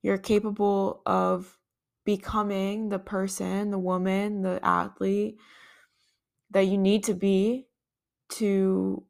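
A woman's voice: medium pitch, gravelly. A woman hosting a podcast on her own, talking in an earnest, reflective way and thinking aloud with some restarts. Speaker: podcast host. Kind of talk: reflective solo podcast talk.

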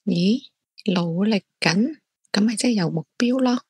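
Husky, nosy woman friend played by an actress. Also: medium pitch, animated, a little slow, a little mellow, no room sound, studio quality, exaggerated voice. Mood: prying, incredulous. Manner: blunt, prying questions and exclamations. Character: nosy, blunt female friend prying into romances. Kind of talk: Cantonese audio drama acting.

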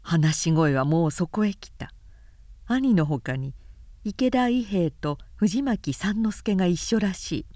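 A woman narrator reading literary fiction aloud in a measured, expressive storytelling manner. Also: lowish voice, dry recording, studio quality. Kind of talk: literary audiobook recitation.

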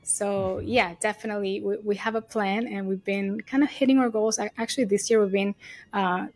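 A woman's voice: medium pitch, steady tone, moderate pace, a little mellow, slight background noise. A woman with a Venezuelan Spanish accent, an agency head answering interview questions casually and confidently, often saying "you know" and "like". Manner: chatty, leans on "you know" and "like". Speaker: agency CEO, guest.

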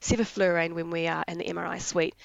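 A woman from New Zealand with a raspy voice from being sick, eagerly explaining her work in veterinary anaesthesia in a casual interview. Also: on the low side, level tone, quick pace, no room sound, muffled recording. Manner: enthusiastic, explains jargon in plain terms. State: raspy voice from illness.

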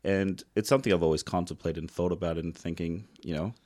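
Man, thirties, very low pitch, casual conversation, chatty, relaxed. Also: fast talker. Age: 30s. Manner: relaxed, chatty, laughs often, many fillers. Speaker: mental health worker.

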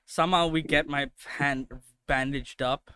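A man's voice: fairly high pitch, fairly even intonation, a little slow, bright, forceful tone, smooth, no room sound. A young man from India in casual chat, swearing a lot, laughing often and telling loose stories.

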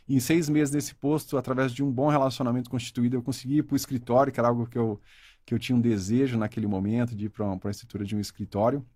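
A man's voice: medium pitch, steady tone, rapid-fire, mellow, no echo, clean studio sound. Talkative Brazilian man in his forties. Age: 40s. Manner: talkative, reflective, frequent "né?" tags. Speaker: interview guest. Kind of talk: casual interview conversation.

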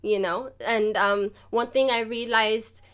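A woman who is a photographer, a guest on a podcast, chatting casually in a lively way and laughing easily. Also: fairly high pitch, slow, clean tone. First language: not German; lives and works in German. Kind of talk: casual interview chat.

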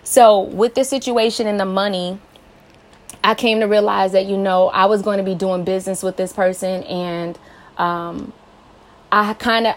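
Earnest woman in her late twenties. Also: medium pitch. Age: late 20s. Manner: earnest, encouraging, many rhetorical questions. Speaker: podcast host. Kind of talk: personal advice monologue.

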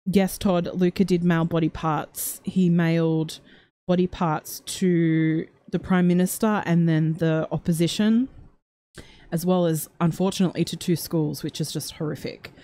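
A woman with a lowish voice hosts a livestream, chatting loosely and reading out viewer comments. Her tone is dark and mellow. She rambles, stopping and restarting her sentences. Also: fairly even intonation, measured pace. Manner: rambling, many fillers and restarts. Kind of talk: casual chat.

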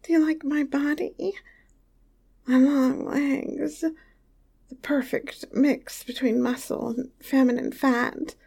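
A woman playing a tall, teasing, affectionate young lover in an intimate audio roleplay, talking in a soft, breathy whisper. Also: high voice, fairly even intonation, slow, heavily acted. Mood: whispery tender.

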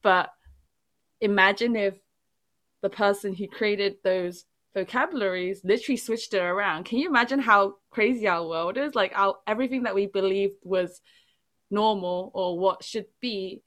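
A woman's voice: medium pitch, normal speed. A woman born in England whose first language was not English, chatting casually and telling a rambling story full of "like"s. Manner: animated storytelling, rambling with many "like"s. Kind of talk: casual chat.

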